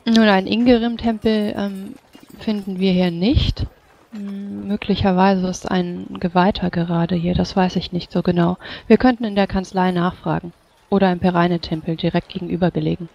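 A woman's voice: fairly low pitch, steady tone, moderate pace, vocal fry, darkish tone, dull audio. A woman role-playing at a tabletop game in polite, formal in-character speech. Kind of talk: in-character role-play dialogue.

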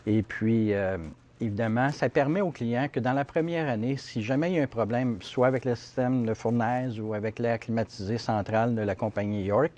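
Middle-aged male contractor, Canadian French accent, halting explanatory delivery, TV studio interview. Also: medium pitch, a little mellow. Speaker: heating contractor interviewed as guest. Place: TV studio set, seated on sofa.

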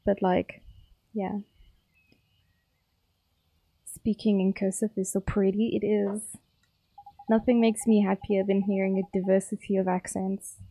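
A woman's voice: medium pitch, little pitch movement, unhurried, pauses often, warm tone, no echo, poor audio. A young woman with a South African accent, chatting casually and teasingly with her viewers while streaming a game. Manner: chatty, playful, teasing chat.

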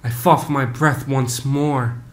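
Young man, late teens, student poet; deliberate, solemn reading, little pitch movement, unhurried pace. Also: steady tone. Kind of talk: poetry reading. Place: living room, close webcam. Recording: faint rumbling background noise.

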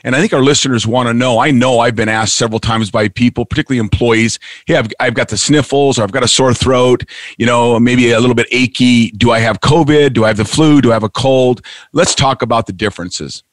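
A man's voice: medium pitch, steady tone, a little fast, no echo. A man hosting a radio health talk show, asking questions in a friendly, conversational way. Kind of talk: radio interview.

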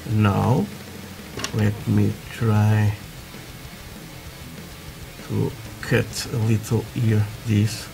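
A man from Portugal with a Portuguese accent, chatting haltingly through a hobby tutorial with many fillers, while building at a workbench. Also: lowish voice, flat delivery, creaky, over music. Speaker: scale model builder. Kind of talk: casual hobby tutorial talk. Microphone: camera over work desk, hands only.

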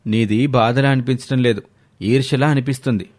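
A man playing a sensible, mildly exasperated husband in a family story, reasoning and gently chiding. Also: medium pitch, steady tone, fairly quick, natural voice.

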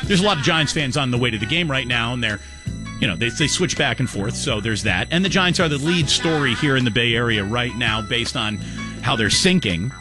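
A man's voice: mid-range voice, expressive, fairly quick, over music. A man hosting sports talk radio, commenting in a mocking, incredulous, jokey manner. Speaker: radio talk show host.

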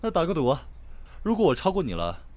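A young man acting a cocky, teasing, hot-tempered high school boy in an audio drama, expressive and easily exasperated. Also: a little fast. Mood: cocky, eager. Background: background music.

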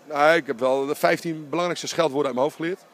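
A man's voice: on the high side, a little hiss or hum. A middle-aged man, a basketball coach, talking emphatically in a post-game interview inside an empty arena, close to a handheld mic. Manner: animated, emphatic, talks in quick bursts. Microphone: handheld interviewer mic held close.

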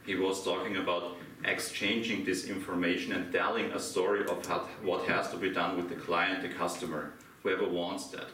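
A middle-aged Austrian man with a German accent presents at a conference, putting questions to a small audience. His low voice has a bright edge. It was picked up from a distance in an echoing room. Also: flat delivery, moderate pace, few pauses. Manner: asks the audience questions, laughs lightly. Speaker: conference speaker. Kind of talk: interactive conference talk. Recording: echoey room from far off, faint hiss.

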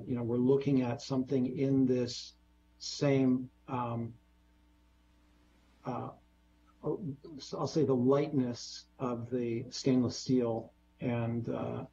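A man presenting a bridge design on a video call, explaining in a measured way with many fillers. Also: mid-range voice, darkish tone, breathy edge, lo-fi sound.

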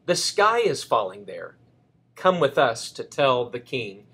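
A man's voice: mid-range voice, sing-song, unhurried, fairly bright tone, breathy edge, full character voice. A man voicing a worried hen who warns her friends in a children's storybook. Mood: urgent.

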